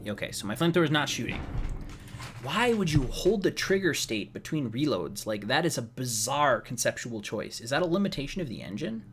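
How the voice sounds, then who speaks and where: A slightly hoarse man giving casual, rambling gameplay commentary as a let's play host, sounding wry and exasperated. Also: mid-range voice, very animated, fairly quick, fairly bright tone, slight background noise. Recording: game music behind the voice. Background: video game music.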